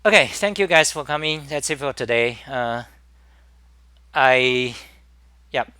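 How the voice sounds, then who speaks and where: A man with a Chinese accent hosting a live-stream talk, speaking in halting bursts with many restarts and repeated words. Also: medium pitch, lively intonation, sharp tone, clean tone, dry recording, studio quality. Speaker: host.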